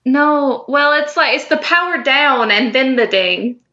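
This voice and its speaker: A woman's voice: high voice, expressive, measured pace, pushed and bright, muffled recording. A woman co-hosting a podcast, chatting casually in a giggly, rambling, informal way with some swearing. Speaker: podcast co-host. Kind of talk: casual fan chat.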